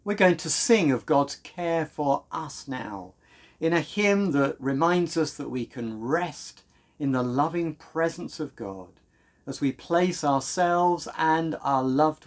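A British man in his fifties leading a home church service as minister, with reverent, measured and deliberate phrasing. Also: a little high, expressive. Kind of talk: sermon and prayer, reverent delivery. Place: small room at home, indoors.

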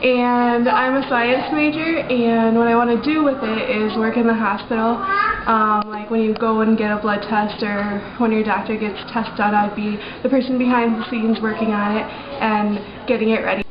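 A young woman gives a casual self-introduction in a somewhat high voice. She is indoors on a camera mic, with echo and clear background noise. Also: little pitch movement, normal speed. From Milwaukee, USA. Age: young adult. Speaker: college science student. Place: indoors by a wall. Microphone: camera mic a few feet away. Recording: echoey, dull, noisy camera audio.

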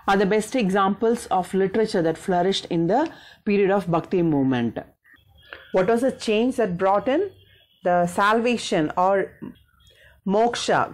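A woman's voice: mid-range voice, darkish tone. A woman with a South Indian accent giving an explanatory school history lesson, partly read out, repeating and rephrasing key points in a didactic way.

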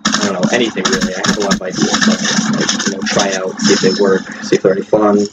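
A man with a fairly low voice talks off the cuff as a gaming video creator. His delivery is informal and a bit hesitant, wandering from thought to thought. Loud video game sound from a TV bleeds heavily into the microphone behind him. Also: monotone, fast talker, very noisy. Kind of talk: casual unscripted gameplay commentary.

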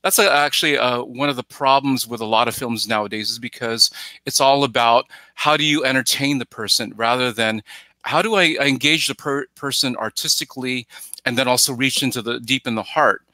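A middle-aged man talking casually as a podcast guest on a headset, haltingly, often searching for words and repeating himself. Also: mid-range voice, sharp tone, no echo, rough recording. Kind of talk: casual film discussion. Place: indoors at home on a video call. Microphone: headset on video call.

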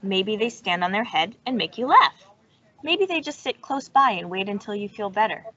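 A woman's voice: mid-range voice, big pitch swings, normal speed, bright, forceful tone. A woman reading a children's science book aloud over a video call. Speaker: storytime reader. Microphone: video call microphone. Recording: dull, lo-fi video call audio.

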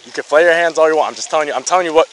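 Man, officer, insistent, repetitive, fast-paced, a bit high, body camera outdoors. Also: a bit bright, slight background noise, low-quality recording. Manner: insistent, repeats himself, cuts in. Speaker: wildlife officer. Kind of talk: arguing during a stop. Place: outdoor beach.

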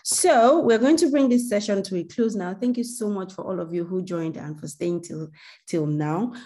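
A woman's voice: medium pitch, dark tone. A woman hosting a webinar on a video call, thanking people and coaxing the audience in a grateful, chatty way. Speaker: webinar host. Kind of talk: closing remarks to a webinar.

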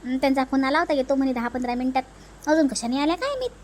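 A woman's voice: high voice, lively intonation, very fast. A woman voicing an anxious young first-time mother in a comedy cartoon, fretful and pleading, with a Vidarbha Marathi accent. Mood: casual. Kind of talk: comedy cartoon family dialogue.

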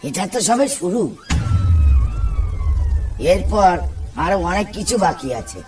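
Older village fisherman uncle played by a man, high voice, scolding and foreboding, cartoon horror-comedy, off-mic over music. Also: expressive, slow, very noisy. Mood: ominous warning. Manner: bossy, ominous warnings, teasing. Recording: distant mic under clear background music.

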